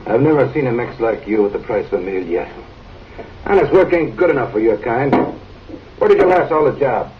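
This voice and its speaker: An actor playing a stern, suspicious frontier sheriff who gives curt, gruff orders, heard on muffled old film audio. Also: male voice, medium pitch, animated, brisk, distant mic, slight background noise, close to an everyday voice.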